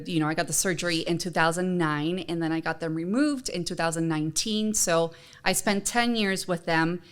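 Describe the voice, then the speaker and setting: A woman's voice: fairly low pitch, a bit bright, smooth. A woman from Honduras co-hosting a podcast, chatting casually and personally in an earnest, encouraging manner. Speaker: podcast co-host.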